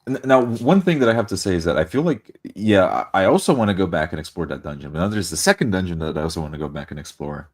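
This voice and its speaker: A man's voice: low-pitched, animated, racing, few pauses. A man chatting casually as a player in a tabletop game group. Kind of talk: casual chat.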